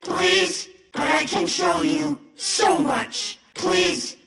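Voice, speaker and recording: A woman playing an imperious supercomputer villain in a video game, speaking in measured lines with a reverberant machine effect. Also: very high-pitched, big pitch swings, creaky, crowd noise, heavily acted. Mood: pleading, afraid. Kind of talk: dramatic game villain acting. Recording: cavernous echo, distant, music behind.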